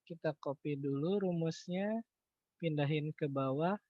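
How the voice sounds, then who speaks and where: A man teaching an informal online lesson, thinking aloud through figures in a halting way and checking with his listeners. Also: on the high side. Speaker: accounting tutor. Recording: echoey room, dull lo-fi online-call audio.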